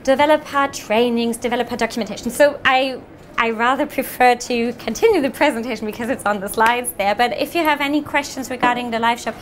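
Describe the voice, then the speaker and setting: Woman presenter, thirties, fairly high voice, friendly and fluent, faint room noise. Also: brisk. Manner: friendly, fluent, frequent ums. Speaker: software sales presenter. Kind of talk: meetup presentation with audience Q&A. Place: meetup room with audience.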